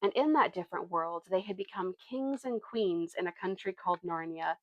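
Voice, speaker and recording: A woman reading a children's novel aloud as expressive storytelling narration, now and then correcting a slip. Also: lowish voice, normal speed, dry recording, highs cut off.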